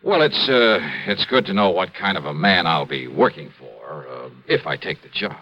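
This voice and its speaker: An actor playing a shrewd, laconic American investigator in a 1950s radio drama, probing and dry. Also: male voice, low voice, animated, normal speed. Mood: measured, probing. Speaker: lead actor in a detective radio serial. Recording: muffled vintage radio recording, music underneath.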